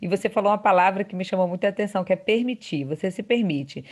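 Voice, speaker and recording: A woman's voice: mid-range voice, expressive, fast. A woman with a Brazilian accent interviewing someone in a casual, conversational way.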